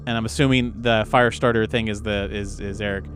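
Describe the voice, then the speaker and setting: A man's voice: fairly low pitch, fairly even intonation, fairly quick, fairly bright tone. A man playing a tabletop role-playing game, speaking informally at the table and sometimes reading game text aloud. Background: music.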